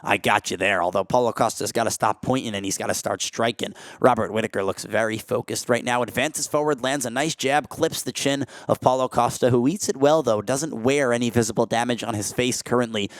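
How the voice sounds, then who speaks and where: A young man calling a martial arts fight play-by-play, filling gaps with "uh" and jumping up in pitch and volume when big strikes land. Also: fairly even intonation, a little fast, hardly any pauses, no echo. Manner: frequent "uh" fillers. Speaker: play-by-play commentator.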